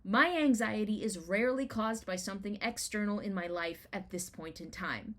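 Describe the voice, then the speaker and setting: A woman writer reading her own personal essay as a dramatic reading, in an expressive, deliberate storytelling manner with emphatic rhetorical questions. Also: mid-range voice.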